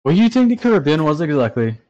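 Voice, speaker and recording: A man's voice: a little high, very animated, racing, mellow, no room sound, muffled recording. A tired man with a Trinidadian accent, talking animatedly and swearing in a casual podcast chat. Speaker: podcast co-host.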